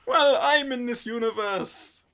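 A man's voice: unhurried, fairly bright tone, exaggerated voice. A man playing a giddy boastful parallel-universe actor. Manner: sudden high exclamations, sing-song boasting. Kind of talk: improvised comedy character.

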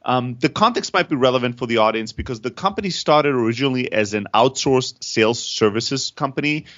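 A startup founder in his thirties, originally from Greece and raised in Germany, talks through his story with restless energy and keeps restarting his phrases. His voice sits at an ordinary middle pitch. The audio is a little dull and lo-fi. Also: fairly quick. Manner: energetic, frequent restarts and repeated words. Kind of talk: candid interview storytelling.

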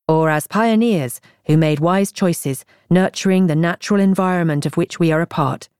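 A woman reading a nonfiction audiobook in a measured, articulate way, as a scripted narrator. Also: sits low, darkish tone, no room sound, clean studio sound.

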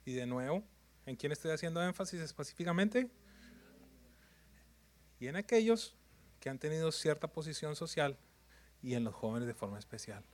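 A man's voice: on the high side, animated, moderate pace, long pauses, a little mellow. A man preaching a sermon in numbered points, emphatic and repetitive, often putting questions to his congregation.

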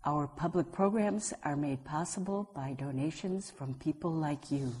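A woman reading a scripted podcast announcement in a slow, measured way, sounding distant. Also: low voice, a little mellow, slightly rough.

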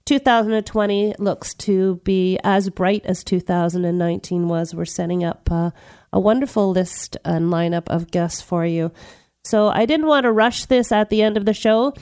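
A woman's voice: mid-range voice, normal speed, smooth. A woman hosting a radio health talk show, nasal from the tail end of a cold and talking in a chatty, upbeat way. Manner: warm, chatty, upbeat and welcoming.

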